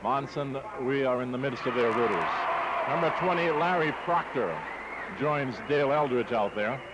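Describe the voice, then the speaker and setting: A man's voice: medium pitch, expressive, normal speed, highs cut off, heavy background noise. A man calling live basketball play-by-play as a radio sportscaster, in clipped announcer phrasing, on a 1960s AM broadcast. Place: courtside in an exposition hall.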